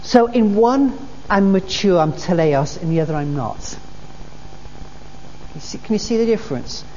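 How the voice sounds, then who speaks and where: A woman's voice: medium pitch, animated, normal speed, dark tone. Woman preaching in an echoing hall. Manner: earnest, repeats key phrases for emphasis. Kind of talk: sermon. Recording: dull recording in a reverberant hall with faint noise.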